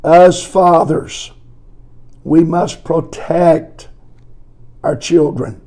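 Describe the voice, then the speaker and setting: Slow-spoken, scratchy-voiced male pastor. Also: fairly high pitch, steady tone, mellow. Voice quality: scratchy, weak voice. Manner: deliberate, repeats phrases for emphasis. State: hoarse, strained from preaching. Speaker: pastor hosting a podcast. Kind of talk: slow teaching talk on family life.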